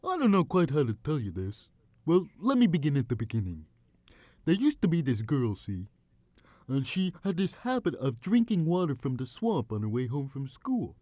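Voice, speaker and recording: A man's voice: a little high, huge pitch swings, normal speed, dark tone, no room sound. Man as a folksy, swooping tall-tale teller. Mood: confiding. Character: folksy, wide-eyed yarn-spinner telling tall tales. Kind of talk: dramatic storytelling on a voice demo.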